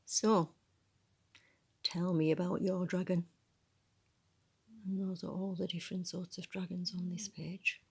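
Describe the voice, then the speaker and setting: A woman reading a picture book aloud in a soft, breathy voice, adding musing, conversational asides about the illustrations. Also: lowish voice, flat delivery, unhurried, pauses often. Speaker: storybook reader.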